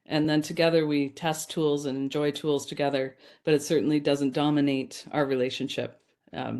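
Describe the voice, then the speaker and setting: A woman's voice: low voice, a little mellow. A woman presenting her research in a conversational academic lecture, speaking informally and addressing audience members directly.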